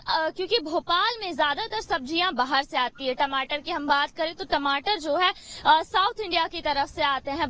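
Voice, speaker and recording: High bright-voiced woman reporting outdoors. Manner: brisk reporter delivery with frequent "uh" fillers. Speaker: field reporter. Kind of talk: street news report with vox pops. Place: outdoor vegetable market. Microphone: handheld reporter mic.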